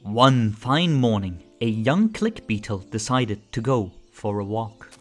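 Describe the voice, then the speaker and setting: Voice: medium pitch, big pitch swings, slow pace. A narrator reading a children's story aloud from a script, in a storybook style. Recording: music bed under the voice.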